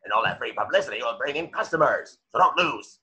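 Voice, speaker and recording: A man voicing a gruff, greedy old crab boss in a cartoon table reading, raspy, blustery and boastful. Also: medium pitch, expressive, quick pace, sharp tone, dull audio. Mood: bossy.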